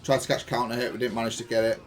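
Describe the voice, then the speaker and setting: A man's voice: mid-range voice, level tone, rapid-fire. Man casually breaking down gameplay. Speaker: gaming content creator reviewing own match. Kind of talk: casual gameplay analysis commentary.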